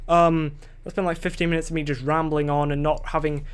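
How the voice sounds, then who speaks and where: A man's voice: a little high, little pitch movement, a little fast, clear, resonant tone. A young man recording a solo podcast into a desk microphone, rambling and questioning himself, losing his train of thought and swearing casually.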